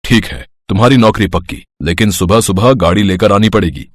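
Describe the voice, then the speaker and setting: A man plays a stern boss in a moral tale. His voice is on the low side and authoritative, deliberate in delivery, in a dry recording. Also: no room sound, close to an everyday voice. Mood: businesslike. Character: stern, businesslike male office boss.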